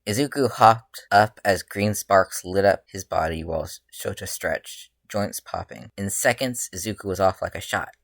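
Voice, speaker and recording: An author with a low voice narrating a story aloud in an informal live reading. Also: a bit bright, no room sound, studio quality. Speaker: author reading own fan fiction.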